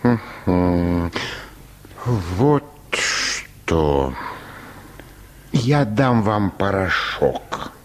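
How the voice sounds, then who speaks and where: An actor in a radio play playing a polite, unhurried medical assistant who speaks courteously to patients. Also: male voice, sits low, animated, exaggerated voice. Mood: matter-of-fact. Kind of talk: radio play acting of a comic short story.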